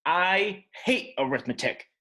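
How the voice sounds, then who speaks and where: A man's voice: sits high, slow pace, pitched above the performer's own voice, exaggerated voice. A man voicing a grumpy, opinionated eight-year-old boy who hates school, telling his story emphatically in a children's storybook. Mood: defiant. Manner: emphatic, expressive. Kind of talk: first-person children's storybook narration.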